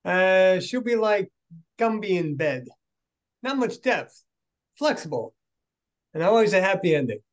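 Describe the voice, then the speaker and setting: A man's voice: sits high, sing-song, unhurried, pauses often. A middle-aged man playing a cheeky, teasing guy in a screenplay table reading over video call. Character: cheeky, tipsy man teasing his relatives.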